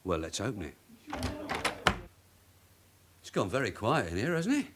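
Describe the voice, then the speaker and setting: Husky, low-voiced veteran detective. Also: male voice, sing-song, unhurried. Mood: probing, wry. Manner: terse, probing questions and clipped orders. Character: shrewd veteran London detective sergeant, dry and pressing. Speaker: police detective in a radio drama. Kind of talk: naturalistic British radio drama acting.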